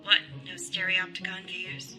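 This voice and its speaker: A woman's voice: lowish voice, monotone, measured pace, over music, lightly acted. A woman playing a forward, worldly nightclub dancer in a radio sitcom. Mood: sly, coaxing. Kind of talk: old-time radio sitcom acting.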